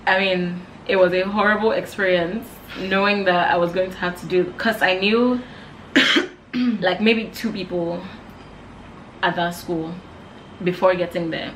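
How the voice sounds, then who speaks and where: A woman's voice: fairly low pitch, fairly even intonation, unhurried, fairly bright tone, a little hiss or hum, poor audio. A young woman from Nigeria co-hosting a casual chat, talking reflectively and laughing often. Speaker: co-host.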